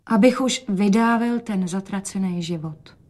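A woman reader acts out an ailing, wrinkled father from a literary story. He sounds weary and wistful, speaking tenderly and quietly. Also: mid-range voice, natural voice.

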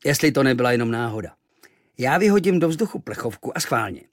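A man voicing a crowd of rough sailors in a children's story, shouting in a raised, rowdy voice. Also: mid-range voice, very animated, no room sound, clean studio sound, close to an everyday voice.

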